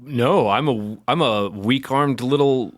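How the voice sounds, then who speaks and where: Male comedy co-host, slow and playful, dry close sound. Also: mid-range voice, no echo. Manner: playful, goofy banter. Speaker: podcast co-host. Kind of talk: casual comedic chat.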